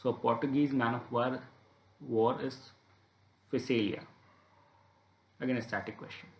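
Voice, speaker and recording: Male exam tutor, mid-pitched, methodical walkthrough delivery, noticeably roomy sound. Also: steady tone, measured pace. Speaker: exam preparation instructor. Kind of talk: explanatory exam paper walkthrough. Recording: echoey room.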